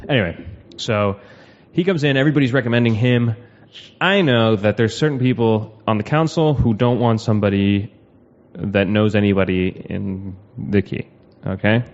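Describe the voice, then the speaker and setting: A man with a fairly low voice hosts a relaxed podcast, telling local stories in a rambling, conversational way. The recording sounds muffled and lo-fi. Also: moderate pace. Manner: chatty, rambling storyteller with frequent asides. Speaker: podcast host. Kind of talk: casual chat. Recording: dull lo-fi podcast audio.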